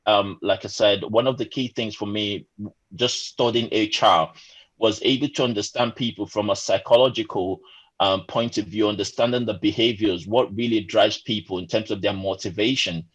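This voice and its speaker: A man's voice: fairly low pitch, steady tone, normal speed, slightly rough. A man in his forties, originally from Nigeria, answering interview questions earnestly over a remote call, with many fillers and restarts. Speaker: podcast guest. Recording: muffled lo-fi remote call audio.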